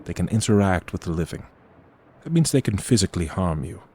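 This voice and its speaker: An actor playing a grave, formal representative of a haunted inn in an audio horror drama, speaking in a measured, ominous way. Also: male voice, sits low, lively intonation, warm tone, breathy edge. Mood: ominous warning.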